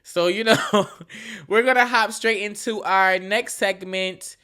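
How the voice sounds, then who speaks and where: A woman's voice: medium pitch, steady tone, slow pace, bright, forceful tone. Frank, slangy young woman podcasting solo. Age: 20s. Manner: slangy, frank and profane, with frequent "you feel me" asides; loose and rambling, with restarts. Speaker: podcast host. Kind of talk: casual solo podcast chat.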